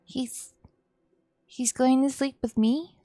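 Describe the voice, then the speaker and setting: A woman's voice: high-pitched, steady tone, slow pace, mellow, no echo, pitched above the performer's own voice. A woman voicing a scared, timid young daughter in a visual novel, small and hesitant in her speech.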